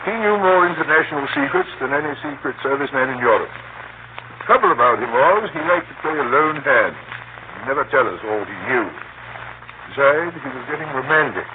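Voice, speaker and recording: An actor playing a senior British government official, composed and weary, with a measured, formal manner in 1930s radio drama style. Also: male voice, medium pitch, lively intonation, natural voice. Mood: dry, conversational. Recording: scratchy, narrow-band old radio recording, heavy noise.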